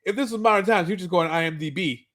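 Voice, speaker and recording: Male podcast co-host, high-pitched voice, casual fan recap, repeats himself while finding words. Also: racing, a bit bright. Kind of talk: casual chat, recapping and commenting on a cartoon.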